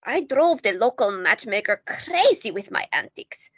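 Actress as older Greek-born grandmother, wry and calm; husky, lively, slightly high. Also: measured pace, no room sound, exaggerated voice. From Greece. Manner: calm, dry, teasing.

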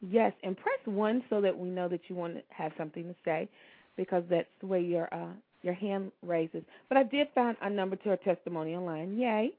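A woman's voice: fairly low pitch, no room sound. A woman hosting a call-in radio talk show, chatting easily with her guests and laughing often. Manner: chatty, laughs easily, slows to read out numbers. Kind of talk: casual call-in radio chat. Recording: tinny phone line.

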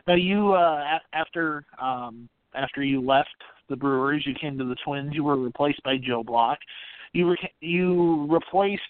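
A man with a slightly high voice speaks over a muffled, radio-like lo-fi recording with no room sound. He is an interviewer, working his way haltingly into long, conversational questions. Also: clear, resonant tone, dry recording. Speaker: podcast host, interviewer.

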